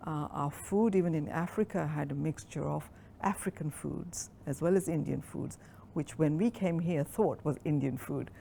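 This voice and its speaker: A woman in her sixties from Kenya, recalling her life at a relaxed pace in an oral history interview, with frequent pauses and ums. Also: low voice, gravelly, a little hiss or hum. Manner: reflective, unhurried storytelling with frequent ums.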